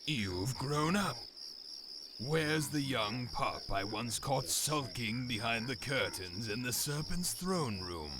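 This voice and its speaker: A man playing a cold, condescending older warlord in a video game cutscene, measured, taunting and threatening. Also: medium pitch, animated. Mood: cold menace. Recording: noisy game audio with night insects.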